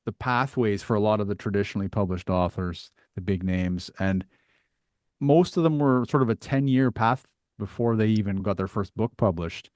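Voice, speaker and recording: A man's voice: lowish voice, moderate pace, darkish tone, dry recording, clean studio sound. A man hosting a conversational interview in a reflective way, often turning statements into questions. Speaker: podcast host.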